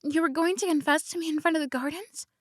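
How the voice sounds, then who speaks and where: A woman voicing a flustered tsundere tomboy princess in an ASMR roleplay, stammering and slipping from soft whispers into indignant protests. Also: high-pitched, fairly even intonation, fast talker, no room sound, studio quality, close to an everyday voice. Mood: tender.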